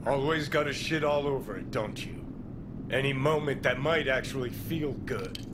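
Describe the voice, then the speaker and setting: A man's voice: mid-range voice, moderate pace, background noise, natural voice. An actor playing a brash, egotistical rock frontman in a video game, cocky, combative and profane. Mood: bitter. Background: loud music.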